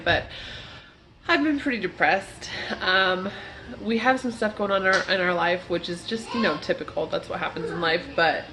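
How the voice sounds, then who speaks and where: A woman's voice: fairly low pitch, a bit bright, poor audio. A woman in her thirties talks in a chatty, rambling way, with plenty of ums, while she walks a handheld camera through her family home. Her nose is congested from a cold, and a faint hiss sits behind her voice. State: stuffed-up nose. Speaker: vlogger. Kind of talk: casual home tour vlog. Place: family home, kitchen and kids' bedroom, indoors. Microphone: handheld camera at arm's length.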